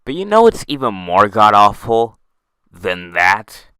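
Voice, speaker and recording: A man's voice: lowish voice, big pitch swings, a little slow, sharp tone, smooth, no echo, rough recording. A young man ranting in an online commentary video, exasperated and often tripping over and restarting his words, close to a dry mic. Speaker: YouTube commentator.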